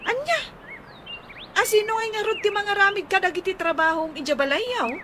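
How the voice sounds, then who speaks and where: Very high-pitched, excitable fussing woman. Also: normal speed. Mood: nagging disbelief. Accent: Ilocano. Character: excitable, fussing woman friend in a comic drama. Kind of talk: Ilocano radio comedy drama acting. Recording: noisy radio drama recording.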